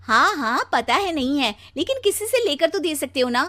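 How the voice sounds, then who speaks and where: A woman voicing a demanding, sharp-tongued young wife with a complaining, exclamatory manner in an audio story. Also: sits high, expressive, moderate pace, pitched above the performer's own voice. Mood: insistent.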